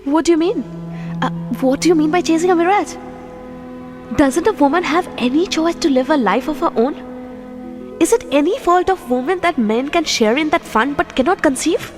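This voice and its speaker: A spirited, independent young woman with an Indian English accent, played by an actress in an audio drama. Her voice is high and lively, and she speaks directly. Long reverb and faint music surround her. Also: normal speed. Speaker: young woman in a radio play.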